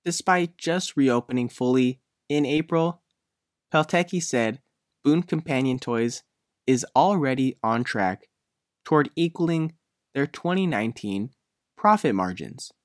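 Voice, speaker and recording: Lively-pitched man reading a news feature. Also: a little slow, no room sound, clean studio sound. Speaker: reporter. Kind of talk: scripted news feature narration.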